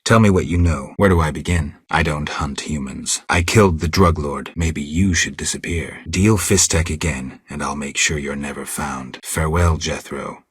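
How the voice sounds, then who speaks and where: Gruff stoic monster hunter for a fantasy game, super low raspy voice, deadpan and terse. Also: male voice, normal speed, hardly any pauses, no echo, clean studio sound, exaggerated voice. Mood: quietly menacing, dry. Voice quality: gravelly, husky low voice. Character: stoic gruff adult male monster hunter, wry and world-weary. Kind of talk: video game character dialogue.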